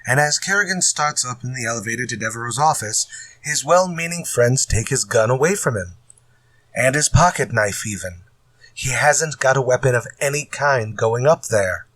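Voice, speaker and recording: Exasperated male writer played by an actor, fretful and muttering, mid-pitched, retro radio play style. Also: animated, moderate pace, a bit bright, no room sound. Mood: frustrated. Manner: fretful, muttering to himself when stuck. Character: exasperated male mystery writer stuck on his story. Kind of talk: retro radio drama acting.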